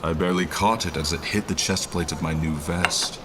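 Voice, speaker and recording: A man playing a weary, dignified nobleman traveler in an audio drama, narrating his journey in formal, measured, old-fashioned phrasing. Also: deep voice, steady tone, noisy background, close to an everyday voice. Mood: reflective. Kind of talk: scripted first-person story narration.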